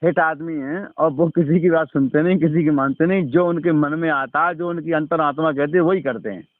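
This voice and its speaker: A man's voice: fairly high pitch, fairly even intonation, a little fast. A man in an informal phone conversation, explaining didactically and repeatedly asking the listener to agree. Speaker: religious storyteller. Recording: narrow-band phone call recording.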